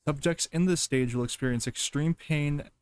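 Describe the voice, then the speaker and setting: A man doing casual reaction commentary and reading aloud, halting as he goes and repeating himself over stumbles. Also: fairly high pitch, clean tone. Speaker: reaction video host.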